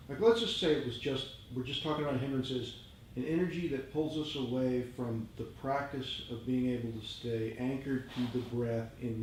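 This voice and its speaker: A heavily echoing room with faint background noise surrounds this man's voice. He speaks at a middling pitch as a participant in a meditation group. His delivery is that of a thoughtful comment in open discussion. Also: a little mellow.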